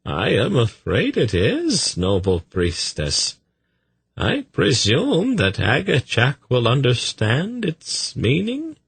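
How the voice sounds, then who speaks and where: Slow, courteous, evasive slaver. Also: male voice, mid-range voice, huge pitch swings, no room sound, voice pushed up, exaggerated voice. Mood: polite, mollifying. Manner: deferential, measured, smoothly placating. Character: unflappable, courteous, evasive male traveling slaver. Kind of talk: fantasy audiobook character acting.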